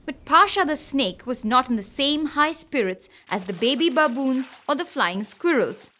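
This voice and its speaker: Animated high-voiced woman storyteller, noisy track. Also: moderate pace. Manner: expressive storytelling. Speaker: narrator. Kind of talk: scripted children's story narration.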